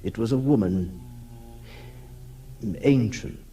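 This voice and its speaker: A man playing a brooding, haunted recluse in a 1960s radio horror monologue, speaking gravely and theatrically with dramatic pauses. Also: fairly low pitch, warm tone, a little hiss or hum. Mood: haunted, morbid. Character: brooding, haunted male recluse raised in a decaying castle. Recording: distant-sounding 1960s radio recording.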